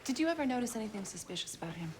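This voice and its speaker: An actress playing a sharp, composed private detective, dry and matter-of-fact, in a period drama. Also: female voice, fairly high pitch, big pitch swings, rapid-fire, background noise. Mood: businesslike. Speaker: actor.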